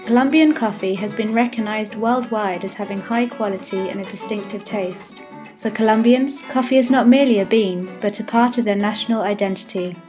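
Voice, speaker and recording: Woman narrating over soft guitar music. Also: mid-range voice, brisk. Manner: even, informative reading. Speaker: narrator and presenter. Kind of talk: scripted documentary narration.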